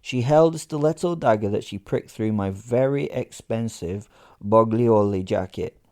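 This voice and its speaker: A man's voice: on the low side, a little slow, mellow. A man narrating a first-person horror comedy in character, conversational and sweary, with a sardonic, theatrically indignant manner.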